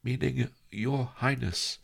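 A man's voice: medium pitch, takes it slowly, creaky, pitched above the performer's own voice, full character voice. A man playing a wry old sage. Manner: calm, dry, reasoning tone. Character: wily old male sage, calm and wry.

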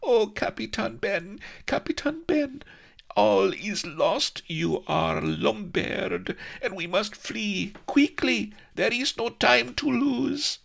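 A man's voice: sits high, lively intonation, takes it slowly, gravelly, fairly bright tone, pitched above the performer's own voice, heavily acted. A man putting on a breathless young noblewoman with a Spanish-tinged accent. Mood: frantic. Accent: put-on Spanish-flavoured broken English. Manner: breathless, pleading, melodramatic.

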